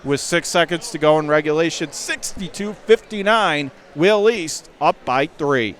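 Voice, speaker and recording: A man doing live radio play-by-play of a high school basketball game from the gym, emphatically restating the score and clock. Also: measured pace, a bit bright. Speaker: play-by-play sports announcer.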